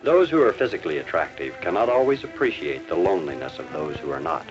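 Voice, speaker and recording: A man's voice: rumbling low, very animated, fast talker, music in the background. A man narrating a scripted 1950s educational film in a didactic manner, posing rhetorical questions. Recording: dull 1950s film soundtrack.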